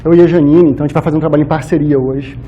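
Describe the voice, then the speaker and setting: A man's voice: a little high, steady tone, very fast, dull audio, slight background noise. A man with a Minas Gerais Brazilian accent giving an informal, conversational religious lecture, often checking in with tag questions. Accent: Brazilian Portuguese, Minas Gerais. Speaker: speaker at a spiritist society.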